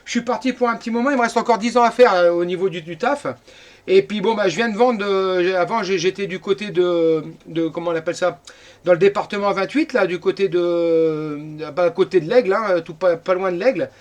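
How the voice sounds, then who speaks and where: A man's voice: high-pitched, brisk. A middle-aged man from France chatting casually as an amateur radio operator.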